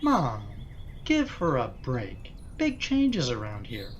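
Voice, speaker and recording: A middle-aged family man, played by an actor in a radio play, harried and placating. His delivery is slow yet very varied in pitch, and the recording is a bit dull. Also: huge pitch swings, slight background noise. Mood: weary, placating. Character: harried middle-aged husband, father and dutiful son.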